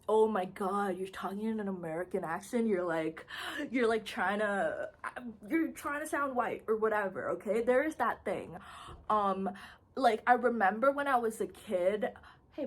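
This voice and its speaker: A young woman from India, a vlogger chatting casually to camera, animated and chatty, laughing often and calling people "bro". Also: mid-range voice. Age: young adult.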